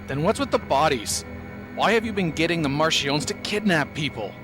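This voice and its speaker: A man's voice: on the high side, lively intonation, moderate pace, lightly acted. A man playing a blunt, questioning companion in an audio drama, casual and challenging. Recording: fan audio play under loud music.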